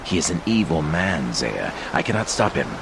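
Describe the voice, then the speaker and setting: An actor playing a grave seer and mentor in a game cutscene, speaking in a portentous, deliberate way. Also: male voice, rumbling low, sing-song, creaky, noisy background, exaggerated voice. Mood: grave, urging. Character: grave male seer and mentor urging revenge.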